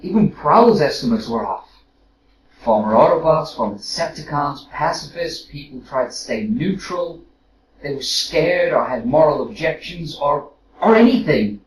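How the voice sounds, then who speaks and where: An actor playing a casual, flustered robot soldier in an audio drama, hesitant and rambling, recorded roomily from a distance. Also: male voice, on the high side, lively intonation, moderate pace, close to an everyday voice. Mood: exasperated. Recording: roomy, distant mic with noise.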